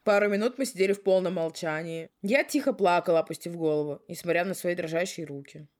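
A woman's voice: on the low side, fast talker, a little mellow. A woman co-hosting a podcast, moving between casual chat and reading story narration, playful, teasing and given to swearing. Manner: playful, teasing, foul-mouthed. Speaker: podcast co-host.

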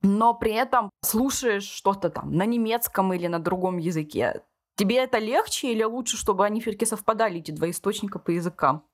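A woman's voice: mid-range voice, fairly quick. A woman, hosting a podcast in a casual conversational style and sharing her own experience. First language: Russian. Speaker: podcast host.

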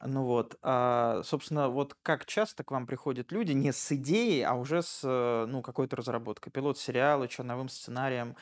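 A man's voice: medium pitch, normal speed, few pauses. A man working as an interviewer, putting questions casually in a hesitant, roundabout way.

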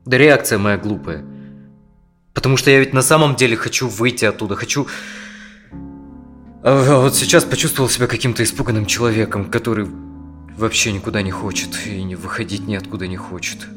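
A low-voiced man in his thirties, played by an actor, tense and full of self-doubt. He speaks haltingly, like a worn-down therapy client in a naturalistic audio play, with music playing softly behind him. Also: lightly acted. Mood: uneasy, ashamed. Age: 30s. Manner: hesitant, halting, sighing speech. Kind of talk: naturalistic audio drama acting.

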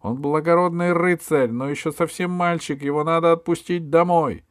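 A man's voice: on the high side, steady tone, moderate pace, clear, resonant tone, no room sound, studio quality, pitched above the performer's own voice, natural voice. A man voicing an earnest young girl heroine in a storybook, urgent and pleading.

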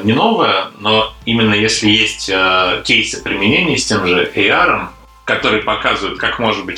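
A man's voice: fairly low pitch, bright, forceful tone, echoey room, rough recording. A man from a conference program committee talking in a casual panel discussion, thoughtful and hesitant, with many fillers.

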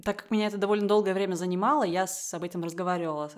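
A young woman from Russia with a fairly low voice chats in a relaxed, thoughtful way, stopping often to search for words and breaking into light laughter. She speaks as a podcast guest in casual conversation. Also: racing. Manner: thoughtful, many fillers and laughs.

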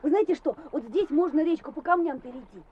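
An actress playing an eager, helpful village schoolboy in a radio play, earnest and enthusiastic. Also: female voice, sits high, expressive, brisk, reverberant. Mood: friendly, eager. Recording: noisy, muffled old radio play.

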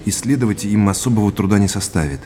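An actor playing a sincere, grateful heir in an audio drama, speaking in measured, earnest short phrases. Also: male voice, fairly low pitch, over music, lightly acted. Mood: solemn, reflective. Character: Oleg, sincere grateful heir to a family legacy.

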